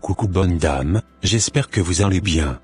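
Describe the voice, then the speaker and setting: A man's voice: sits low, a little slow, darkish tone, slightly rough, soft background music, heavily acted. A man voicing a courteous, friendly shop customer in a cartoon, speaking politely.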